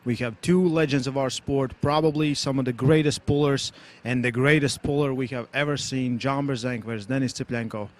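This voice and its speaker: A male sports commentator opening a live broadcast, building excitement in short declarations. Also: mid-range voice, a little fast.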